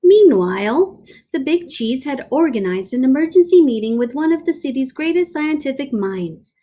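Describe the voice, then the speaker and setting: A woman reading a children's picture book aloud like a teacher, dramatic and deliberate, with playful asides. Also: sits high, very animated, mellow.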